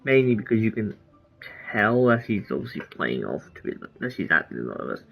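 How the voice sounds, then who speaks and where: A man giving casual, halting, rambling commentary as he plays through a game. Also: fairly low pitch, very animated, creaky, husky. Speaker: game streamer. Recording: muffled lo-fi mic, game music behind.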